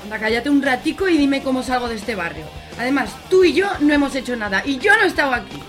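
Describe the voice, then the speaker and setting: A woman's voice: high-pitched, expressive, fast talker, a bit bright, faint music behind. A woman acting a panicked, hungover, bossy young woman in a radio serial, indignant, with an accent from Spain. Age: young adult. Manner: indignant, exclaiming.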